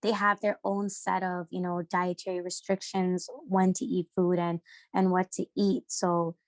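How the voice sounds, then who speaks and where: Low, flat-voiced young woman, halting. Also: no room sound. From Sri Lanka. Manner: hesitant, many fillers and restarts. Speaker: podcast guest. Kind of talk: casual discussion.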